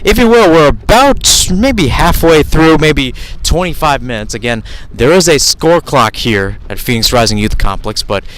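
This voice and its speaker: A man's voice: on the high side, lively intonation, normal speed, a bit bright, rough recording. A man calling a live soccer match as a radio play-by-play commentator, talking in a conversational, improvised way with asides and self-corrections.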